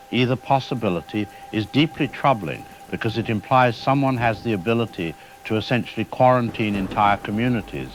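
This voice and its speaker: A man playing a cautious, troubled scientist in a scripted radio drama, explaining technical matters in measured, formal language. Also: lowish voice, natural voice, rough recording. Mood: grave, troubled. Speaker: expert guest. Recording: steady rain-like background noise.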